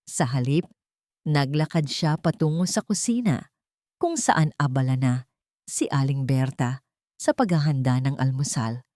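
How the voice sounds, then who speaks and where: A woman narrating a scripted family drama in an earnest, sentimental way, in short deliberate phrases. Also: very deep voice, darkish tone, no echo, studio quality.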